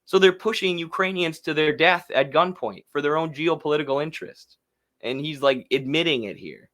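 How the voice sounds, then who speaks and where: A man's voice: on the high side, moderate pace, fairly bright tone. A man giving casual, indignant and sarcastic political commentary as the host of a livestream. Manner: indignant, sarcastic, mocks others in put-on voices.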